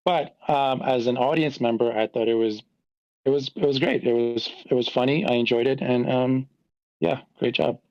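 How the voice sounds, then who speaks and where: A man's voice: mid-range voice, moderate pace, a little mellow, dry recording, dull audio. A man chatting casually and modestly as a newcomer, hesitant between phrases, on online meeting audio. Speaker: newcomer giving audience feedback. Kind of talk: casual chat.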